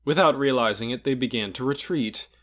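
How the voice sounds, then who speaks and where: A man narrates a fantasy novel in a mid-pitched voice. His delivery is scripted and dramatic, measured like a storyteller's, with deliberate pauses that set off each phrase. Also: a bit bright. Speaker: audiobook reader.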